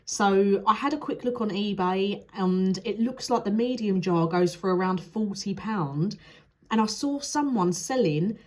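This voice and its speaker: A woman's voice: medium pitch, a little slow, a little mellow. A young woman talking through a candle review in a chatty, frank way. Her voice sounds nasal and blocked up from a cold, with the odd sniffle. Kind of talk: casual product review vlog.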